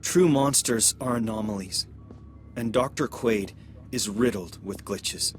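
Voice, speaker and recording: A man playing a cryptic, commanding rebel mentor in a video game, composed and measured as he gives instruction. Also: on the low side, lightly acted. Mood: grim. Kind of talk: dramatic video game acting. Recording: music over the voice.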